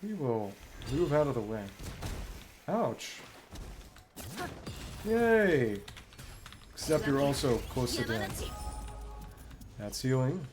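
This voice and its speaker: A man streams a game with casual commentary, thinking aloud in short, halting bits. His pace is unhurried, while his pitch moves around in a lively way. Faint music plays along behind him. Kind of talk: casual solo gameplay commentary.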